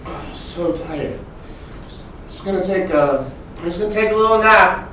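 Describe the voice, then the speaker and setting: A man playing an exhausted, drowsy character in a staged play reading, halting and repeating himself. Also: high voice, animated, pushed and bright. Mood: weary, fading. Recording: echoey room, far mic, faint background noise.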